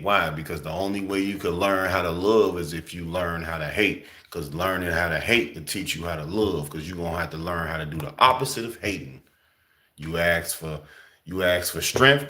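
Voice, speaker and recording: A young man talking in a casual, slangy preaching style, emphatic and often jumping high for emphasis. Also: a bit bright. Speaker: host.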